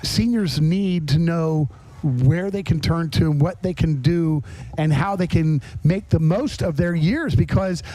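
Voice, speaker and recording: A man's voice: on the high side, measured pace, dark tone, rough recording. A man hosting a casual podcast interview, chatty and rambling, often repeating words as he builds up to a point. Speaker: podcast host.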